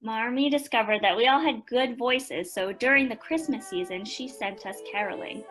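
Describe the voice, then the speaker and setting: A woman's voice: on the high side, normal speed, a bit bright, soft background music, close to an everyday voice, poor audio. A woman playing a sincere author who recalls her childhood fondly in a radio play. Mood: fond, reflective.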